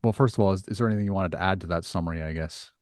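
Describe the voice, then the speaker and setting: A man's voice: low-pitched, very fast, a little mellow, no echo, studio quality. A man hosting a conversational interview in a reflective way, often turning statements into questions. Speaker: podcast host.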